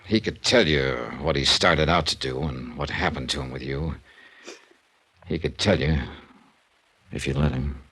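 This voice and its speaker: An American actor playing a world-weary, blunt insurance investigator in a 1950s radio detective drama, clipped and matter-of-fact. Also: male voice, extremely low pitch, unhurried, pauses often, pushed and bright, husky, exaggerated voice. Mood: firm, consoling. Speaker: lead actor and narrator. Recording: old radio recording with music.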